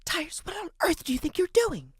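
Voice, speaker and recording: A narrator voicing a stern older woman librarian who scolds in a hushed whisper. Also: very high-pitched, very animated, moderate pace, dry recording, studio quality, voice pushed up, exaggerated voice. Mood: hushed scolding.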